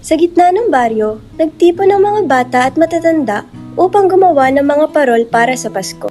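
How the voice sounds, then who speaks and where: A woman's voice: sits high, lively intonation, normal speed, over music. A woman giving scripted narration as the storyteller of a radio drama.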